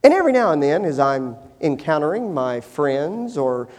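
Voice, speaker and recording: A middle-aged male pastor preaching a sermon, shifting from hushed, slow stretches to emphatic, rising appeals. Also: on the high side, big pitch swings. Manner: dynamic, swings from hushed to emphatic. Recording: long hall reverb.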